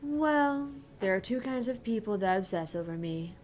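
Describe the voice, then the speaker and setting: A woman's voice: mid-range voice, lively intonation, normal speed, darkish tone, lightly acted. A woman voicing a cheerful, airy young female pop idol in a video game, earnest and breezy. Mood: proud, matter-of-fact. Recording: clear background noise.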